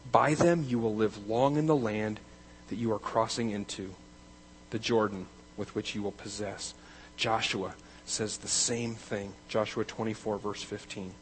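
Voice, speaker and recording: A man's voice: on the low side, a little slow, slight background noise, poor audio. Man preaching with emphatic build-ups. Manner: emphatic, rising to loud declarations then dropping quiet. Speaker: pastor. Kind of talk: sermon.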